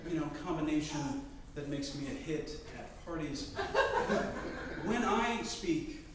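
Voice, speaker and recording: Slow, crisply enunciating young man. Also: on the high side, animated, background noise. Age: 20s. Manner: careful, precise enunciation with deliberate pauses. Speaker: storyteller. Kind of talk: live storytelling performance. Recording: roomy live-venue sound.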